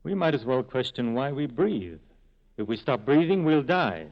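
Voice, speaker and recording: An actor playing a grave, idealistic resistance leader, speaking formally and eloquently in a 1940s radio drama. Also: male voice, medium pitch, sing-song, moderate pace, vocal fry. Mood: grave, earnest. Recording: dull vintage radio recording.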